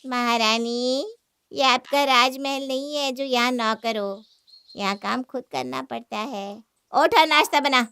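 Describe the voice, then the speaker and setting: Woman acting a shrill, nagging mother-in-law. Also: unhurried, bright, forceful tone, clear, resonant tone, dry recording, full character voice. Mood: sarcastic, exasperated. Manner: nagging, sarcastic. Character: scolding, exasperated mother-in-law of a modest household. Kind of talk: Hindi cartoon story acting.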